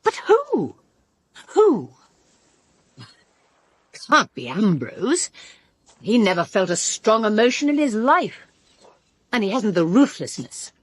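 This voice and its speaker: A bitter, candid British woman in a radio drama. Her delivery is theatrical and cutting, with lively swings in pitch and a slightly husky edge. The sound is close and dry. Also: slow, long pauses, dry recording, exaggerated voice. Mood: urgent, speculating. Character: brittle, sharp-tongued woman suspect, candid and bitter. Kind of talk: full-cast radio drama dialogue.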